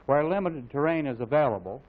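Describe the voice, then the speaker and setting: A man's voice: a little high, expressive. Tinny vintage training-film narrator, American man. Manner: formal, authoritative, measured delivery. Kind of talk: scripted mid-century instructional film narration. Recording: phone-like vintage film soundtrack, narrow band.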